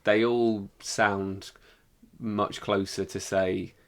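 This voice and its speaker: A man's voice: on the low side, level tone, very slow. A man co-hosting a podcast, chatting casually as he reviews things. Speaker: podcast co-host.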